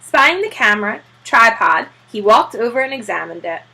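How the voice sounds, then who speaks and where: A woman reads a children's storybook aloud like a teacher to a class. Her voice is fairly high and bright, with a slightly husky edge and very lively intonation. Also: moderate pace, low-quality recording. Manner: expressive, acts out character voices.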